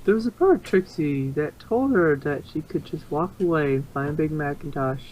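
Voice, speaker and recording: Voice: mid-range voice, normal speed, darkish tone, slight background noise, poor audio. A narrator reading a story aloud in an amateur fan fiction reading.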